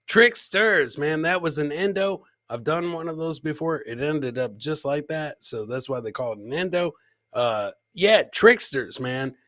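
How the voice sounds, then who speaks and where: A man's voice: a little high, fairly bright tone, dry recording. A middle-aged man hosting a comedy livestream, bantering casually and chummily with slangy, repeated phrases into a close mic. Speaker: comedian and live podcast host. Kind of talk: casual livestream banter reacting to clips and viewer comments. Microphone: close podcast mic on a boom, with headset.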